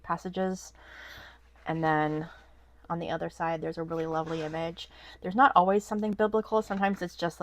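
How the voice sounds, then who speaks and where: A woman with a stuffy, nasal voice from a cold, chatting casually at her desk as a vlog host, rambling with lots of ums and restarts. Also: lowish voice. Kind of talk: casual haul vlog chat. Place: at a home desk.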